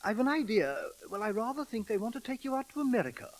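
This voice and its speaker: A genteel, bumbling British lord in an old radio comedy. His high, swooping voice is sheepish and halting, full of vague apology. The broadcast recording crackles. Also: sing-song, full character voice. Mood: flustered, vague. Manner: halting, apologetic, upper-class. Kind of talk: 1940s radio comedy acting.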